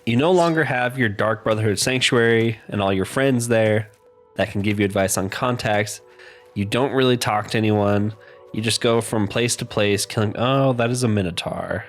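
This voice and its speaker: A man's voice: lowish voice, soft background music. A congested man giving easygoing, chatty commentary while playing a video game. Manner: easygoing, chatty, quick laughs. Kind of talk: casual let's-play commentary.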